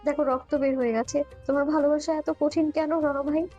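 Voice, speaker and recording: Woman acting a high-voiced, lovestruck young girlfriend. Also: fairly even intonation, brisk, warm tone, soft background music, natural voice. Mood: plaintive, defiant. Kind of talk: dramatised audio love story.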